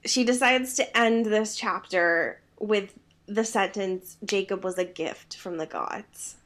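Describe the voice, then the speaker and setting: A woman's voice: medium pitch, slow pace, a bit bright. A woman co-hosting a podcast, chatting casually about a book with sarcastic, exasperated commentary and some swearing. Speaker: podcast co-host. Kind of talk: casual book discussion chat.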